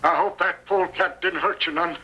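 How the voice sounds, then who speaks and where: An actor playing a spirited, folksy old American prospector with a raspy voice in a radio Western. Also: male voice, high-pitched, steady tone, fairly quick, sharp tone, exaggerated voice. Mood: spirited, affectionate. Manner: folksy rustic exclamations. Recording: vintage radio recording, tinny.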